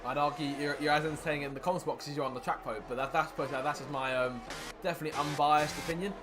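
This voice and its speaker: Male sim racing play-by-play caller, quick and raised in pitch, getting hoarse, noisy recording. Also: music in the background. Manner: excited play-by-play, rising with the action. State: losing his voice. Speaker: lead commentator.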